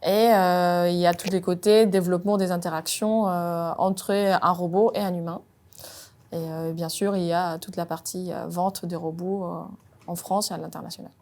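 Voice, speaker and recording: A woman in her thirties from Colombia, speaking French. Her voice sits a little low. She talks through her engineering work in a measured way, often pausing with hesitant fillers. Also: level tone, darkish tone. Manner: measured, frequent "euh" hesitations. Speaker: robotics R&D engineer. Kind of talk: job interview-style monologue.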